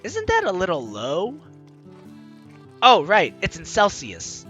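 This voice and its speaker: Lively, bright young woman reading a game. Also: lowish voice, takes it slowly, soft background music. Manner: playful, theatrical reading, chatty asides. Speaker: gaming YouTuber, host. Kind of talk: let's play, reading game text aloud with commentary.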